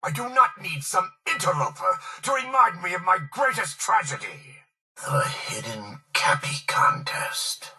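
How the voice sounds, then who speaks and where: An actor playing a proud, bitter old tycoon kept alive by a machine in a video game, theatrical and self-pitying. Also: male voice, high-pitched, sing-song, measured pace, sharp tone, distant mic, faint music behind, heavily acted. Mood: anguished outrage, then mournful. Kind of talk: dramatic video game voice acting.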